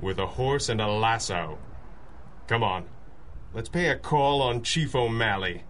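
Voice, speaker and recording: Wry superhero boss, mid-pitched, dry teasing articulate delivery, radio play, faint background noise. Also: male voice, lively intonation, measured pace, a bit bright. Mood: wry, reassuring. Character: wry, composed male masked superhero, wealthy boss. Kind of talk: old-time radio drama acting.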